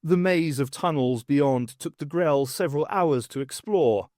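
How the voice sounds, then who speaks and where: A man's voice: fairly high pitch, measured pace, a little mellow, dry recording, clean studio sound. A man reading an audiobook as narrator, in a scripted storytelling style.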